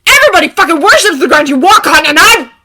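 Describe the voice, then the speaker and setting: Explosive teen boy read by a woman: shouting, cursing, voice cracks; bright tone. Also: mid-range voice, lively intonation, brisk, voice pushed up. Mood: furious. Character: furious teenage boy hero, explosive temper. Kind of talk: fan fiction reading.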